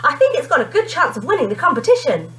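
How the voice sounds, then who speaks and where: A woman reading the part of a kindly, measured male schoolteacher in a storybook. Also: high voice, sing-song, fairly bright tone, slight background noise, pitched above the performer's own voice. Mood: encouraging.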